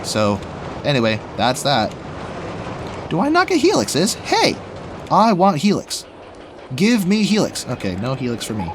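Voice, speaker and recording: A young man with a rough, slightly raspy voice after being sick, chatting casually and rambling as he plays a theme park building game. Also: a little high, wildly swooping pitch, unhurried, long pauses, background noise. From Washington State. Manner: rambling, chatty, laughs often, talks to himself while building. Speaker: gaming YouTuber. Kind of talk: casual let's play commentary.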